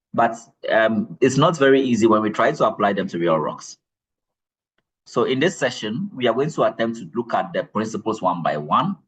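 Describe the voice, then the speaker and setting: Male lecturer, low and breathy, patient and repetitive classroom delivery. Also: poor audio. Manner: repeats key points, checks in with "all right?". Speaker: geology lecturer.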